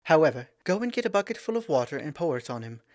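A man's voice: on the high side, big pitch swings, dry recording, clean studio sound, voice pushed up. A man doing a young regal princess. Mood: playful. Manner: clear ringing tones, slow and stately.

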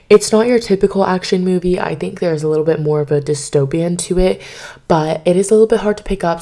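A woman hosting her podcast in casual chat, slightly hoarse from losing her voice, chatty and rambling with lots of fillers, recorded on her bed at home into a microphone. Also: low voice, mellow. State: slightly hoarse, partly lost voice. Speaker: podcast host.

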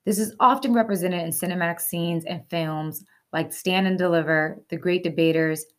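A woman's voice: fairly low pitch, fairly even intonation, moderate pace, fairly bright tone. A woman hosting a segment, reading scripted explainer narration over music. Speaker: host.

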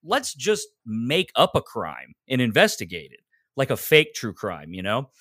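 A man's voice: mid-range voice, huge pitch swings, a little slow, a bit bright, dry recording, clean studio sound. An American man chatting casually as a podcast guest, rambling a little with self-deprecating humor. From Texas, United States. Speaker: podcaster and audio drama maker, guest. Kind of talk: casual interview chat.

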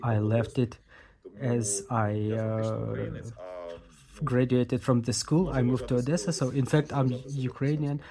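Man, translated interview answers, measured delivery with restarts, mid-pitched, crowd chatter behind. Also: little pitch movement. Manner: measured, with restarts and repeated phrases.

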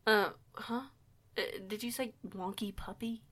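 A woman's voice: a little high, steady tone, a little slow, a bit bright. A woman voicing a guarded eighteen-year-old werewolf delinquent who stays polite, with a folksy, hesitant manner, in an audio roleplay. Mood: confused. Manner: folksy, hesitant, stammering, deferential.

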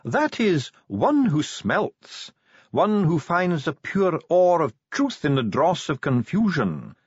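Man voicing a haughty high-pitched dwarf official. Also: very animated, slow pace, breathy edge, dry recording, highs cut off, heavily acted. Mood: condescending. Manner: pedantic, condescending, coolly needling. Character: haughty, calm, venomous male dwarf official of the underground. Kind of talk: audiobook character acting.

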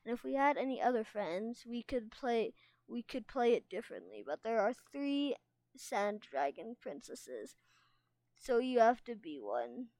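A woman's voice: fairly high pitch, sing-song, a little slow, darkish tone, dry recording, poor audio. A woman voicing a bossy, dramatic young female dragon in a storybook, with a commanding and emphatic delivery.

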